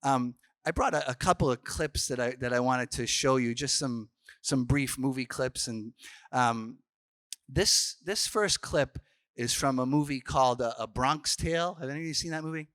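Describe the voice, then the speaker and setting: A man's voice: medium pitch, moderate pace, fairly bright tone, no room sound. A man, a Franciscan priest telling stories in a casual youth workshop talk, full of restarts and fillers. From Boston, Massachusetts. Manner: chatty storyteller, frequent restarts and fillers.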